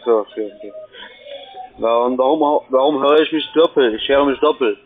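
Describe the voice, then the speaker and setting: A man's voice: medium pitch, normal speed. Man on a tinny phone line. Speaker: call-centre phone caller. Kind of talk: unscripted sales call.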